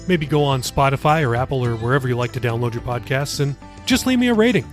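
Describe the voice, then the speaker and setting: A man's voice: mid-range voice, lively intonation, quick pace, faint music behind. A man hosting a podcast, giving a chatty, informal, upbeat promo read. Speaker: podcast host.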